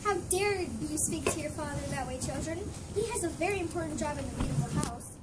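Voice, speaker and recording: A woman acts out a brisk, bossy Egyptian mother with a very high-pitched voice. It is amateur readers theater, recorded at a distance under loud noise. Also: expressive. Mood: scolding, brisk. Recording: distant mic, very noisy room.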